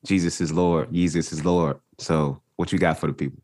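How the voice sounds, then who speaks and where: Male podcast host, very deep voice, dry close sound, relaxed and halting. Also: dry recording. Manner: laid-back, stumbles over words. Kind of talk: casual podcast chat.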